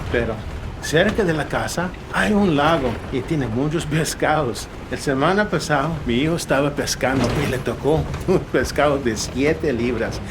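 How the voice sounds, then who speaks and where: Chatty, lively, high-voiced truck driver. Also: male voice. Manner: rambling, folksy, animated. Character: kindly, chatty local truck driver, a proud father. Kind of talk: audio drama acting. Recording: noisy rumbling vehicle ambience. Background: truck engine and road noise.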